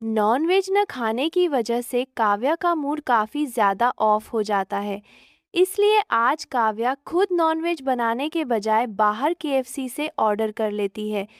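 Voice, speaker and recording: Female story narrator, high voice, unhurried, scripted delivery, dry studio-like sound. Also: animated, no echo.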